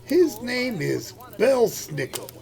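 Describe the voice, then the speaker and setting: A man playing a warm-hearted, folksy husband who acts as Santa in an amateur radio play. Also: very high-pitched, very animated, slow, creaky, heavily acted. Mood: kindly, jolly. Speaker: actor in an amateur audio play.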